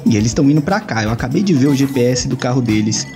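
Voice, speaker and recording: A man's voice: mid-range voice, expressive, fast talker, mellow, natural voice. A man acting in an audio drama as a jaded, slangy hacker loner in a cyberpunk city. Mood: cool, urgent. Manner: brooding, slangy. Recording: music behind the voice.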